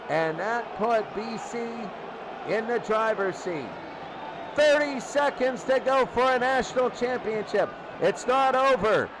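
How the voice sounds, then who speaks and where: High-pitched man calling football, repeating himself. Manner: repeats phrases for emphasis. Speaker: sports play-by-play commentator. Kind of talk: excitable American football commentary. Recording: loud crowd and ambient noise behind.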